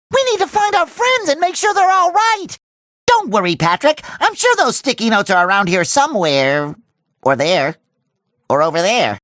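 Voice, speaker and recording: A man voicing a cheerful, naive young talking sponge in a cartoon video game, with a squeaky, nasal, exaggerated delivery. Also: fairly bright tone, no echo, studio quality, full character voice. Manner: exaggerated, earnest, eager delivery.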